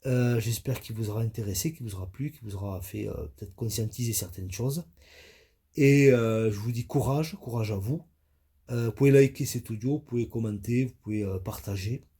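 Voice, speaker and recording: Dark-voiced man with a stuffy nose. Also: medium pitch. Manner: halting, many fillers and restarts. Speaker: spiritual coach. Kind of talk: hesitant spoken audio monologue.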